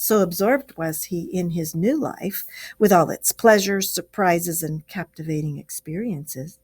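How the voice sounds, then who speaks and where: A woman teacher reading a children's story aloud at home. Her voice sits at a middle pitch, and she reads slowly and expressively, shaping each phrase for young listeners. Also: a little mellow.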